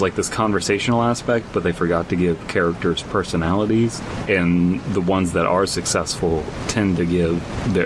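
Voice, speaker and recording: A man co-hosting a podcast, chatting casually and reading a horror story aloud with halting, rambling commentary. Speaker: podcast co-host. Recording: rumbling background noise throughout.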